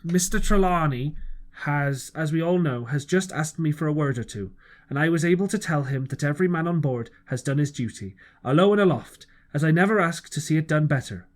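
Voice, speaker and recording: A man's voice: a little high, brisk, close to an everyday voice. A man voicing a formal, commanding ship's captain in an audiobook. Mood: formal, hearty. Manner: formal, authoritative.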